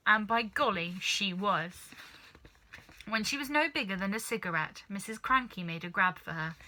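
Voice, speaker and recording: Very bright-voiced woman reading a story. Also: mid-range voice, normal speed, low-quality recording. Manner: dramatic, animated reading. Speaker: storybook reader. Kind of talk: expressive children's story narration.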